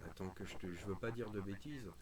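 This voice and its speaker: A man's voice: lowish voice, quick pace, background noise. A man chatting casually and bluntly in informal, opinionated slang. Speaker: radio hobbyist chatting on a group call stream. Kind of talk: casual chat.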